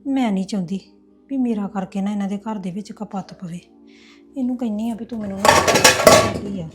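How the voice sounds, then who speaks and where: A woman's voice: medium pitch, fairly quick, loud music behind the voice, close to an everyday voice. A woman playing a resentful sister-in-law who complains and speaks sarcastically in a family drama. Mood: musing. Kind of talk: domestic drama acting.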